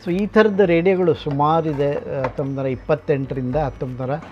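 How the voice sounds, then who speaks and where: A man's voice: on the high side, steady tone, fairly quick. A middle-aged man gives an informal, explanatory guided talk as a collector, mixing in English words. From Karnataka, India. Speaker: radio collector and museum founder. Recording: dull lo-fi sound, music behind. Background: music.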